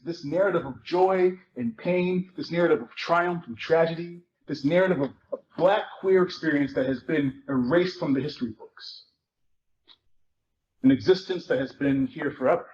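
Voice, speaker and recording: A man reading a memoir aloud in a dramatic reading at a live event. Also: fairly high pitch. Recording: muffled, noisy live event recording.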